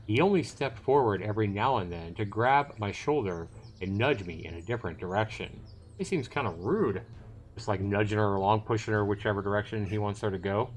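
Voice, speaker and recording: A man hosting a livestream, chatting informally about a game and reading its text aloud. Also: on the low side. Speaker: livestream host. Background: game music.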